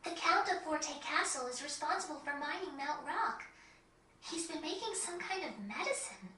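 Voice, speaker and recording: A woman playing a composed, courteous young girl who guards a forest, speaking in measured, articulate video game dialogue. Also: sits high, animated, pushed and bright, slight background noise. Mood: earnest, concerned. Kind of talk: video game cutscene acting. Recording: echoey, distant game audio.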